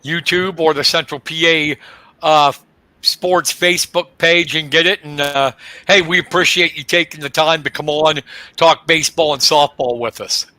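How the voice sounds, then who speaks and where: Bright, dry-voiced fifties host. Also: male voice, on the high side, fairly even intonation, moderate pace, dry recording. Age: 50s. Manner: friendly, teasing. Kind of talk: sports talk show hosting.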